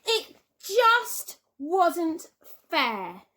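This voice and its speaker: A woman reads a children's story like a primary school teacher. Her voice is high and very animated, and she takes her time, stretching words for dramatic emphasis. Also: very slow.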